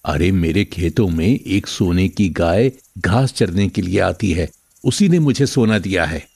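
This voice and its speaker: A man playing a kind, honest farmer in an animated moral story, earnest and plain-spoken. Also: sits low, a little slow, vocal fry, husky, no room sound, heavily acted. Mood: confiding. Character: kind, honest poor farmer grown rich.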